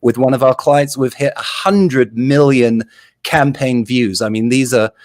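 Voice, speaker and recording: A man in his forties with a London accent, a video producer talking as an interview guest, enthusiastic and animated. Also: medium pitch, measured pace. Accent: English, London. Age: 40s. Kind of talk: interview answers.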